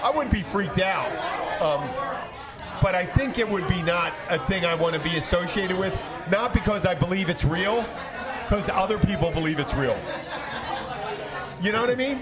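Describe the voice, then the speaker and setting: A man with a high voice hosts a casual, bantering podcast. He talks haltingly, circling back over his own words, while a loud crowd chatters all around him. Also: expressive. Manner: halting, repeats himself. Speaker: podcast host. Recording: crowded, noisy public room chatter.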